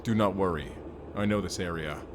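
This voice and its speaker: A man's voice: sits low, moderate pace, background noise, close to an everyday voice. A man playing a stoic, solemn barbarian warrior in a cyberpunk audio roleplay, speaking tersely and formally. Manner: formal, terse, avoids contractions. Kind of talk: first-person audio roleplay acting.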